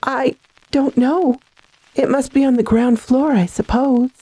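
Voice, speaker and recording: A woman playing a frightened, dazed young heiress in a radio horror drama, with a halting, earnest way of talking. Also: high voice, steady tone, warm tone, dry recording, rough recording. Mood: subdued, dazed.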